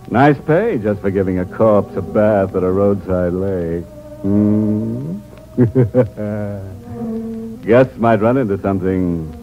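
A man playing a droll, ghoulish host of a 1940s radio mystery, mocking and macabre with tongue in cheek. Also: lowish voice. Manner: droll, macabre, savoring each word. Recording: muffled old radio recording. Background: music.

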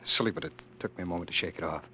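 An actor playing a proud, troubled former ruler in an earnest, dramatic old-time radio style. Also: male voice, low-pitched, racing, bright, forceful tone, slight background noise. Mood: tender, reassuring. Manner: earnest, dramatic, hesitant repetitions. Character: proud, troubled abdicated ruler and dreamer, a man. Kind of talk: 1940s-50s radio drama acting. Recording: dull vintage radio recording.

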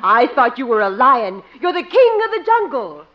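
An actress playing a coy, flattering sweetheart with a cooing, teasing manner in a 1950s radio comedy. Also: female voice, fairly high pitch, moderate pace. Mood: goading. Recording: muffled old radio recording, faint noise.

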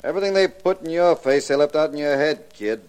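A quick, gruff male voice from an actor plays a gangster sugar daddy. He is curt and scornful, firing off wisecracking insults in old radio crime show style. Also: on the high side, lively intonation, darkish tone, lightly acted. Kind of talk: 1950s radio detective drama acting.

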